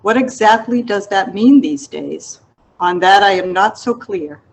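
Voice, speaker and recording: A woman reads from her own literary memoir at a slow pace. Her voice sits at an average pitch for a woman. The delivery is deliberate and reflective, as an author presenting an excerpt. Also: expressive. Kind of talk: literary memoir narration, read aloud.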